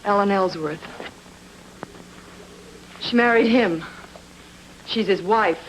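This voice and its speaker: A woman's voice: medium pitch, a little hiss or hum, lightly acted, low-quality recording. A woman playing a cool, guarded former nightclub entertainer in a noir radio drama, speaking formally and evasively. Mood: anxious. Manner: formal, measured, evasive phrasing.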